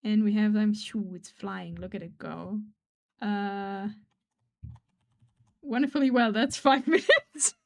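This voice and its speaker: A woman of middle pitch chats casually on a live stream while she draws. She rambles and pokes fun at herself, and she often drops into soft, quiet mumbling. She speaks English, though German is her first language. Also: slow pace, gravelly, long pauses. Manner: rambling, self-deprecating, trails off into quiet mumbles.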